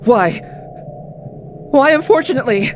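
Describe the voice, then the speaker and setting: A woman's voice: high voice, expressive, measured pace, faint music behind. A woman playing an uneasy, bewildered adventurer in an audiobook drama, hesitant and stammering. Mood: bewildered, anxious.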